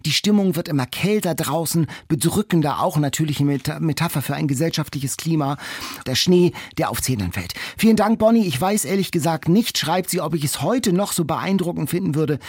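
A man's voice: a little high, lively intonation, brisk, darkish tone, husky, dry recording. A man co-hosting a casual book podcast, speaking with emphatic enthusiasm and repeating words for effect. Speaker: book podcast host, quizmaster.

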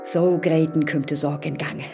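An actress playing a cunning, bold neighbour woman in a rhymed radio play, with a northern Low German accent and a sly, coaxing delivery. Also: fairly even intonation, normal speed, a little mellow, faint music behind. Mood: scheming. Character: Marte, cunning bold neighbour woman baiting the devil online. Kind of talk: audio drama acting in rhymed verse.

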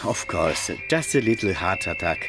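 An actor playing a laid-back, blunt dancer in a radio drama. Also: male voice, low voice, sing-song, normal speed, creaky, over music, heavily acted. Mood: blunt, unbothered.

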